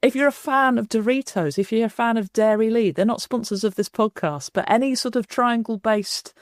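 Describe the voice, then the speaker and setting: A woman's voice: fairly high pitch, fairly quick, no echo, clean studio sound. A woman, an author and broadcaster, hosting a podcast with jokey, playful banter. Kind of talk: casual podcast chat.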